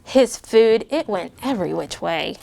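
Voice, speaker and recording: Expressive woman reading a picture book aloud. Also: medium pitch, unhurried, creaky. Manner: expressive rhyming read-aloud with asides to kids. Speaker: guest reader and parent. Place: school classroom with children.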